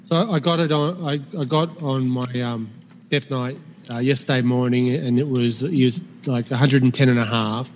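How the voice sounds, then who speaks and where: A man in his forties with a mild speech impediment talks in a halting way, full of fillers and restarts. His voice has a long reverb tail over faint background noise. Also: medium pitch, fairly even intonation. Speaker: podcast co-host. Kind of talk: casual chat.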